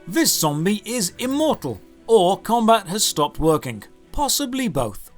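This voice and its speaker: Guy with a highish voice doing a sarcastic scripted game review, dry jokes, bit of music underneath. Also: big pitch swings, measured pace.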